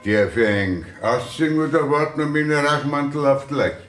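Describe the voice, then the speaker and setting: A man's voice: a little high, normal speed, fairly bright tone, soft background music, close to an everyday voice. Echoey, matter-of-fact sexton, played by a man. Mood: dutiful, laconic. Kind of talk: 1960s German radio play acting.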